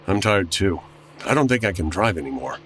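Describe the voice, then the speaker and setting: A man's voice: low voice, big pitch swings, fairly quick, fairly bright tone, a little hiss or hum. Fretful 1940s man, wildly swooping voice. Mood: weary, puzzled. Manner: fretful, earnest. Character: anxious, bewildered man from the 1940s on the run. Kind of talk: comedy audio drama acting, old-time radio flavour.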